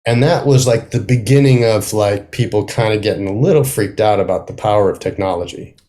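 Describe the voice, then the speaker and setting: A man, a playwright, talking casually as an interview guest in a halting, thoughtful way, often trailing off mid-sentence. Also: fairly low pitch, warm tone. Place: remote video call.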